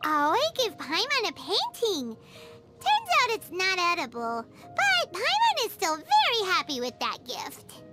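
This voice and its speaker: A tiny game fairy squeaks in an extremely high, childlike voice. The pitch leaps about wildly as this naive, excitable companion exclaims. Loud music plays underneath. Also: female voice, measured pace, heavily acted. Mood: excited, proud. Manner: exclamatory, refers to self in third person. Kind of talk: animated video game voice acting. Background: loud background music.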